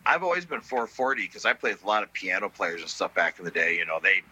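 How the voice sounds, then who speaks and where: A middle-aged man with a lowish, husky voice and a very bright, sharp edge, telling stories in a relaxed way. He leans on fillers as he talks. The sound is dry and close. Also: quick pace, low-quality recording. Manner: relaxed storytelling, many fillers. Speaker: blues musician guest. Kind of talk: casual interview chat.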